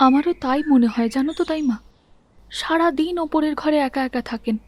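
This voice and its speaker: A woman's voice: high voice, a little fast, darkish tone, lightly acted. A woman voicing a young, naive daughter-in-law in a cartoon, confiding and mildly complaining. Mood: puzzled, confiding. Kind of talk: cartoon voice acting.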